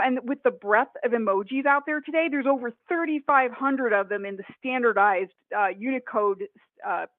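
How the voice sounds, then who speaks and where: A woman in her forties from Northern Ohio giving a conference webinar talk, slightly hoarse, chatty with many fillers and restarts. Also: high voice, no room sound. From Northern Ohio, USA. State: hoarse, coughing. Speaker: conference presenter. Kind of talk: webinar presentation. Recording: dry, phone-like audio.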